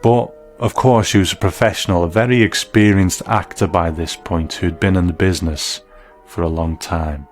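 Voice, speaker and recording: A man hosting a show, delivering measured, scripted commentary in the manner of an appreciative reviewer. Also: low voice, fairly even intonation. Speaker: host. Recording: faint music under the voice. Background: soft background music.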